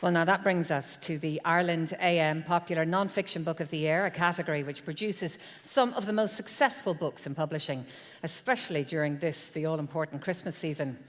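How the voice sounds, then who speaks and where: Low-voiced woman presenter in a hall. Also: a little fast, a faint crowd. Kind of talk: scripted link. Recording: reverberant hall.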